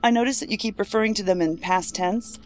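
A woman's voice: medium pitch, fast talker, darkish tone, slight background noise, lightly acted. An actress playing a thoughtful, astute, serious female investigator in an audio drama.

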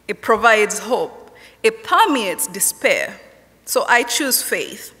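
Slow, bright-voiced Kenyan woman preaching. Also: medium pitch. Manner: slow, measured, rhythmic repetition. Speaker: chapel speaker, professor. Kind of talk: personal testimony sermon read from notes. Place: university chapel stage at a lectern. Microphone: lectern microphone. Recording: long chapel hall reverb.

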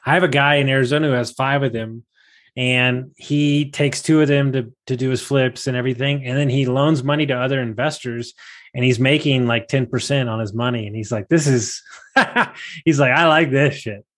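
Guy hosting a podcast, casual and blunt, swears freely, medium pitch voice. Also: steady tone, moderate pace, fairly bright tone. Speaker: podcast host, interviewer. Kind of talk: casual interview chat.

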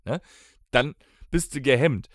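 A man's voice: medium pitch, measured pace, dry recording. A man co-hosting a podcast in casual, blunt, colloquial talk, close to a studio microphone. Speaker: podcast co-host and band musician.

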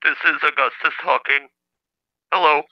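Stilted, robotic, high-voiced phone caller. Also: male voice. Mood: aggrieved. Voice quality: flat synthesizer-like delivery. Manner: stilted, halting, insistent. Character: indignant male caller claiming to be a famous scientist's brother, robotic-talking. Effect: telephone call. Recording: dull lo-fi phone line.

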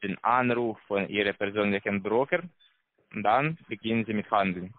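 A man with a foreign accent, not a native German speaker, giving a halting, repetitive telephone sales pitch as a call center salesman. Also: no echo. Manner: halting, repetitive, broken grammar. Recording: tinny narrow phone line.

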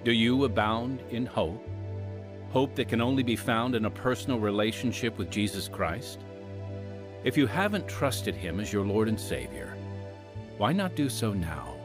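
A man announcing a radio program in polished, measured scripted narration. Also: fairly low pitch, lively intonation, over music.

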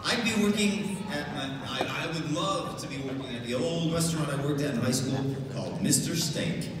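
A man's voice: fairly high pitch, brisk. A man in casual, joking banter as a voice actor on a panel, recorded from far back in a noisy hall. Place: large convention hall, filmed from audience. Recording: distant echoey hall, loud noise.

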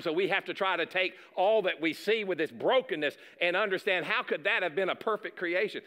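A man's voice: fairly high pitch, bright, forceful tone, in a large hall. A middle-aged man preaching a sermon, moving around a church stage, talking in a conversational way with repeated words and side remarks. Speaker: pastor. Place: large dark church stage.

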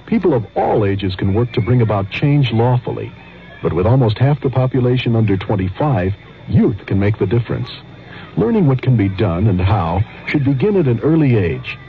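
A man's voice: mid-range voice, very animated, normal speed, soft background music. An American man narrating a scripted public service announcement for radio. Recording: thin phone-like old radio recording.

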